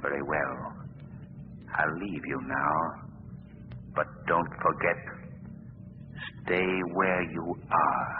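An actor playing a mysterious, commanding invisible crime fighter with measured speech in 1940s radio style. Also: male voice, rumbling low. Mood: calm, commanding. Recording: scratchy, tinny, echoing 1940s radio recording.

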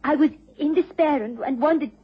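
An actress playing a proud, mysterious young woman in a radio drama, speaking formally and earnestly in measured phrases. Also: sits high, natural voice. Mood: earnest, wounded. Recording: dull old radio recording.

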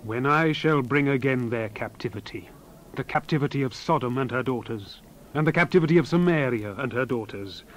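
A man acts out a wrathful God passing judgment in a dramatized scripture reading. The male voice sits at a medium pitch. The delivery is solemn and declamatory, moving between forceful cries and hushed near-whispers. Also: a little fast, slight background noise, lightly acted, low-quality recording. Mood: stern, accusing.

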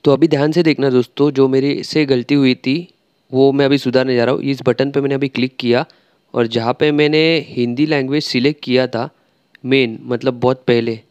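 A man's voice: mid-range voice, steady tone, moderate pace, darkish tone, clear, resonant tone. A man talking through a screen-recorded tutorial step by step, chatty and loose, with frequent filler sounds. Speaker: tech tutorial creator.

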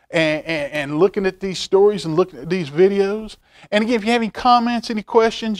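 A middle-aged man doing a library storytime, reading a picture book and chatting to children in an expressive, folksy manner, repeating phrases for emphasis. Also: high voice. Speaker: library storytime reader, stand-in host. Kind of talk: animated picture-book read-aloud with asides to children. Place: indoor library room, seated at a table.

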